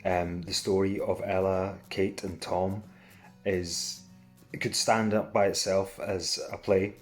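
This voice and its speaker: A man's voice: deep voice, flat delivery, slow, faint music behind. A man who works as an actor, talking casually in an interview.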